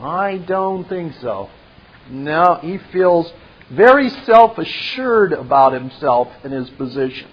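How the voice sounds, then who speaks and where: Male commentator-storyteller: slow, halting, dramatic phrasing, lo-fi sound. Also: fairly high pitch, lively intonation, breathy edge. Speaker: presenter. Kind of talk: retelling a film scene with commentary.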